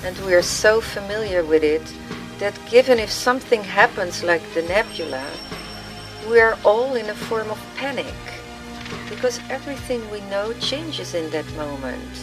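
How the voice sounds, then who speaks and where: A woman's voice: medium pitch, animated, moderate pace, natural voice. A woman voicing a pensive, weary man in a brooding inner monologue for a radio play. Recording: music laid under the voice.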